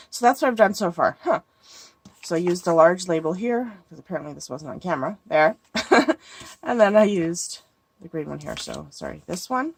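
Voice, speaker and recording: A woman's voice: lowish voice, slightly rough. A woman with a stuffy cold, thinking aloud as she walks through making a handmade card. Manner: thinking aloud, musing and hesitant. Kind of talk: casual crafting tutorial talk.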